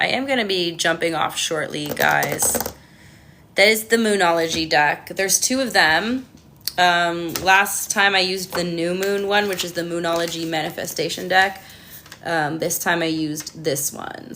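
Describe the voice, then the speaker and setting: Young Canadian woman, tarot livestream host, low-ish pitch, bright forward tone, casual and rambling. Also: slight background noise. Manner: chatty, reads viewer comments aloud, frequent ums. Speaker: tarot reader.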